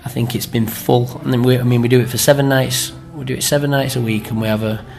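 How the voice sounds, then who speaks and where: A man's voice: medium pitch, steady tone, fast talker, gravelly, a little mellow. A middle-aged man with a Greater Manchester accent, chatting in an interview in a rambling way and often checking with tag questions. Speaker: amateur pantomime performer being interviewed.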